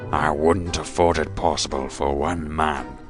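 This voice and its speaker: A man's voice: extremely low pitch, fairly even intonation, unhurried, faint music behind. A man acting a pompous, stern and irascible village mayor in a fan radio play, with formal, sarcastic scolding.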